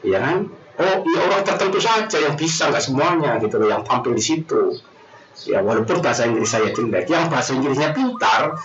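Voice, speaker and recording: A male lecturer with an Indonesian accent speaks in a slightly high, animated voice. He rambles informally, circling back on his words and checking in with little tag questions. The sound is dull and echoey, picked up at some distance from the microphone. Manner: rambling, colloquial, repeats himself, frequent tag questions. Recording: roomy echo, distant mic, dull noisy sound.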